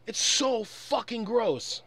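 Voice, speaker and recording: A man's voice: very high-pitched, lively intonation, slow, a bit bright, no echo. A man delivering an angry, off-the-cuff rant in a profane, exasperated and emphatic way. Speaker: online commentator recording an audio log.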